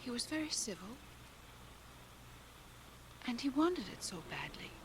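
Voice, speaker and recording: An actress playing a gentle, generous, scatterbrained village wife in a radio drama, with a childlike, affectionate way of talking. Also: female voice, a little high, sing-song, unhurried. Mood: calm, naive. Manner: chatty, artless, affectionate. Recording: hissy home cassette transfer of radio drama.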